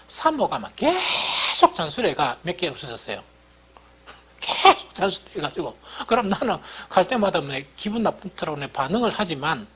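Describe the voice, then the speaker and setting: Husky Korean pastor with Gyeongsang accent, animated pitch. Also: male voice. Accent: Busan-area Gyeongsang. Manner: conversational, asks listeners rhetorical questions and repeats key points. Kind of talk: sermon, informal teaching.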